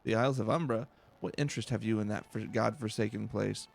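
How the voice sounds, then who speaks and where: A man's voice: on the low side, fairly even intonation, fast, creaky. A man voicing a grim, blunt sailor in a video game, with salty, dramatic speech. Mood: grave, foreboding.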